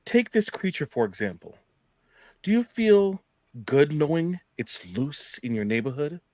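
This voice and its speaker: A man's voice: a little high, big pitch swings, a little slow, darkish tone, no room sound. A man acting an indignant, fearmongering American senator in a radio play, speechifying with rhetorical emphasis. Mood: grave alarm. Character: alarmist, indignant male American senator stirring up fear.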